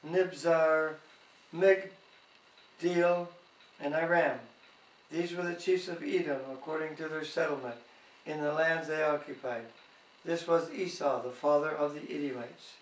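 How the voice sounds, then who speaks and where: A man with a slightly high voice reads scripture aloud at a slow, halting pace. He sounds set back from the microphone in a roomy space, stumbling now and then over the words. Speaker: Bible reader. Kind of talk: reading scripture aloud with brief informal comments. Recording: roomy, distant home microphone.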